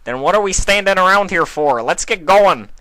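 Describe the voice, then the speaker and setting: A man voicing a big, hearty male unicorn, a former athlete and uncle, loud and forthright, in a cartoon fan story. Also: high voice, lively intonation, normal speed, no room sound. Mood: alarmed, decisive.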